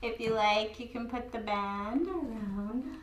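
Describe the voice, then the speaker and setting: Woman, yoga instructor, croaky voice, unhurried, fairly high voice, echoey room. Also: crowd noise. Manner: slow, calm cueing with light laughs. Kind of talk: guiding a gentle yoga class. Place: old studio building.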